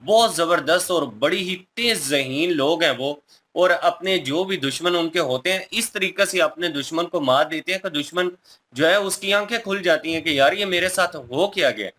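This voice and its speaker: A man speaks as a co-host giving his opinions casually. His voice is fairly high and sharply bright. He explains his points with emphasis. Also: moderate pace. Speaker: reaction video co-host.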